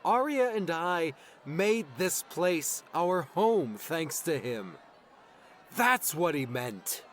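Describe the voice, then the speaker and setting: A man voices a hardened young hero in an audiobook drama. His delivery is terse and resolute, with pitch that moves widely over faint outdoor background noise. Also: very animated, slow pace, fairly bright tone, exaggerated voice. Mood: defiant.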